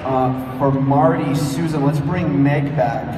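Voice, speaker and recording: A man's voice: medium pitch, slow, far from the mic. A man hosting and emceeing a live comedy panel, announcing to the room. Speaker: host. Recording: very noisy echoey convention hall.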